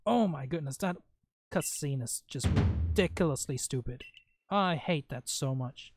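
Male gameplay host, slightly high voice, casual and bluntly opinionated, rambling over faint game music. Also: expressive, a little slow. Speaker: gaming video host playing and commenting.

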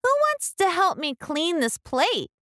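A woman's voice: extremely high pitch, very animated, a little slow, fairly bright tone, dry recording, clean studio sound, voice pushed up, heavily acted. A woman voicing a determined, self-reliant little girl in a storybook, with emphatic, sing-song delivery. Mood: eager, inviting.

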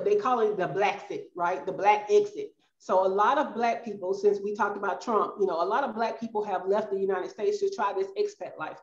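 A middle-aged woman from the US South with a Southern accent, chatting casually as an interview guest and calling listeners y'all. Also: mid-range voice. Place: bright room at home. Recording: roomy, slightly dull video-call audio.

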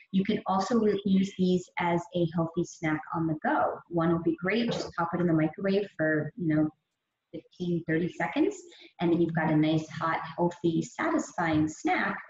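A woman's voice: on the low side, a little mellow. Chatty woman trainer in an echoey room. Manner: upbeat, chatty, many fillers. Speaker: fitness trainer. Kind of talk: presenting a meal prep talk over slides. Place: gym or studio room. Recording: echoey, dull lo-fi audio with faint hiss.

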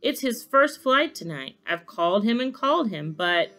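A woman's voice: fairly high pitch, sing-song, normal speed, a bit bright, pitched above the performer's own voice. A little old elf from a children's storybook, played by a woman. He is Santa's fretful helper and speaks up hesitantly, fussing as he goes. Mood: hesitant, worried. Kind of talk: children's storybook read-aloud.

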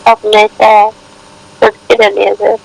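A woman with a Bangladeshi accent talks in a mid-pitched, creaky voice. She chats casually and intimately, as on a private phone call, with some background noise on the recording. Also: little pitch movement, a little fast.

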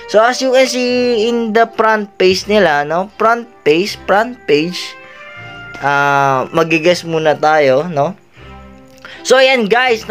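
Slow, playful Filipino gaming vlogger. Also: medium pitch, a bit bright. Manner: playful and giggly, yelps while dodging. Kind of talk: casual mixed Tagalog-English gameplay commentary. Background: game music.

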